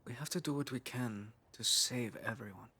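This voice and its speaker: Male actor as frail, driven young scientist for an intimate ASMR roleplay; earnest, musing, measured, mid pitch. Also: bright, forceful tone, slightly rough.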